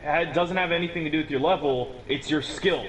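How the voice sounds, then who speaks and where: A man's voice: a little high, fairly quick, a crowd in the background, rough recording. A teenage boy explaining a game build in casual chat, rambling with many restarts and little chuckles. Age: teens. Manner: rambling, restarts often, chuckles at own remarks.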